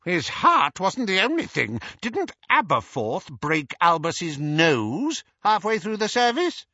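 A gossipy old witch aunt as a male narrator acts her out in a storybook. The voice is shrill and pitched high, with huge swoops and a bright, forceful edge. Every phrase is relished with tipsy, spiteful glee. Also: huge pitch swings, slow pace, dry recording, muffled recording, heavily acted. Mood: gleeful. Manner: relishing scandal, emphatic swooping delivery.

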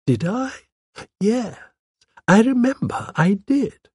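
A gentle old spinster, played by a male narrator, musing primly in a raised, slightly husky voice. She is shrewd and digressive, a gossipy village lady from an audiobook mystery. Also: sits high, sing-song, slow, no room sound, studio quality, pitched above the performer's own voice, exaggerated voice. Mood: coyly discreet. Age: older. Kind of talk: audiobook character dialogue.

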